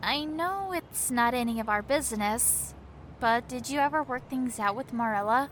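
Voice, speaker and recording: A woman playing a concerned, gently prying friend in an audio drama. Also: a little high, normal speed, slight background noise, lightly acted.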